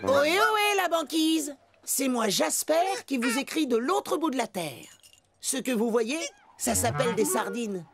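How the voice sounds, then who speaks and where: A woman's voice: high voice, a little slow, a crowd in the background. A woman voicing a curious, cheerful young male penguin in a French children's cartoon, telling his adventures playfully. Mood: cheerful, explaining. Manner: expressive, playful storytelling.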